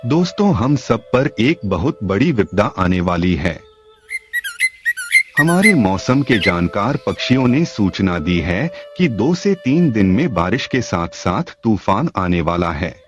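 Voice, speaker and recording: A man voicing a calm, authoritative parrot king in a cartoon, addressing his subjects in a measured, reassuring way. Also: lowish voice. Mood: grave warning. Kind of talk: animated story dialogue. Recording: dull lo-fi sound, music behind.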